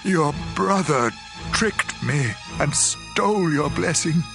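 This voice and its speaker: Frail old dad in a bible drama, crackly voice, super slow n solemn, music under it. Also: male voice, on the high side, animated, creaky, exaggerated voice. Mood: regretful, grave. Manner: slow, weighty, halting delivery. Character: frail old blind father, solemn patriarch giving blessings. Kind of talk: dramatized scripture reading with music.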